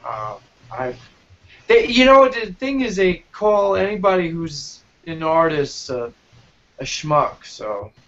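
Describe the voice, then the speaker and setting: Guest: higher-pitched man from New Jersey, stop-start casual talk, muffled lo-fi audio. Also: sing-song, fairly bright tone. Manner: halting, repeats words. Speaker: guest street performer. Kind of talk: casual chat. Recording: dull lo-fi call audio.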